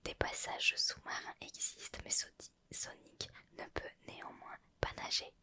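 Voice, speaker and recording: A woman's voice: a little slow, bright, forceful tone. A young woman whispering through an ASMR roleplay, reading a retro gaming magazine aloud and adding chatty asides and self-corrections. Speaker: ASMR creator.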